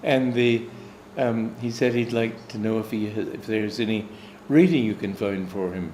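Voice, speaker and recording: A man's voice: lowish voice, a little slow, darkish tone, slight background noise. American man telling a story in an echoey room. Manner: meandering anecdotal storyteller. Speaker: swami, spiritual teacher. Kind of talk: personal storytelling talk. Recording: echoey room, faint ambience.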